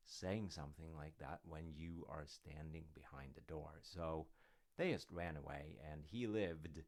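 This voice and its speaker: A man playing a reflective, encouraging father in an intimate audio roleplay, musing and rambling in a deep, gravelly voice. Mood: amused storytelling. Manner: unhurried, musing, gently reassuring.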